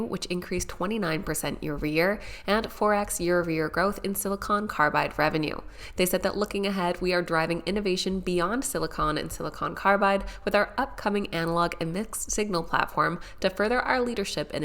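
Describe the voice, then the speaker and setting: A woman hosting a stock market video, speaking in a nasal, congested voice from a head cold as she presents a scripted financial rundown. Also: lowish voice. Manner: steady, informative delivery of figures.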